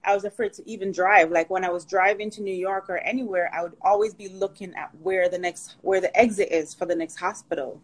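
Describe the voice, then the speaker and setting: A woman's voice: medium pitch, steady tone, a little fast, few pauses, low-quality recording. A woman from Jamaica, a podcast guest, chatting openly and telling a personal story with easy laughs. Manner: open, storytelling, laughs easily. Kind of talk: casual conversational interview.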